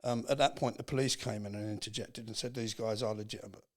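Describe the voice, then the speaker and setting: A man's voice: lowish voice, fairly even intonation, quick pace. English man telling a heartfelt personal story. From England. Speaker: podcast guest.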